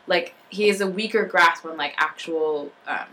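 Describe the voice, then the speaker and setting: Woman, fairly low voice, halting, filler-heavy casual chat. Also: sharp tone, rough recording. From United States. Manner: halting, lots of fillers and restarts.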